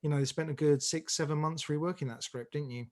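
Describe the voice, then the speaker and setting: A man's voice: fairly high pitch, steady tone, quick pace, darkish tone. A man talking casually as an interview guest, explaining things emphatically and acting out what people say. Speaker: audio drama director, interview guest.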